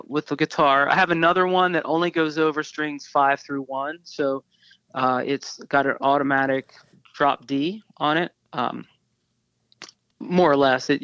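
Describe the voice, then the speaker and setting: A man in his early fifties with a high, bright, forceful voice, talking in a loose, rambling way full of restarts and fillers. The sound is dry and slightly dull, like lo-fi audio. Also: dry recording. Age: 50s. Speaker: guest musician. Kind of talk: casual interview about guitars.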